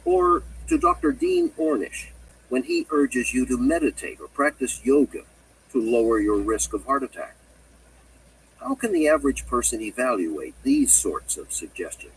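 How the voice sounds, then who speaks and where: A man reading a nonfiction audiobook in a measured, emphatic and articulate way. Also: medium pitch, huge pitch swings, distant mic, slight background noise, low-quality recording. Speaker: audiobook narrator. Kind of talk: scripted nonfiction audiobook narration.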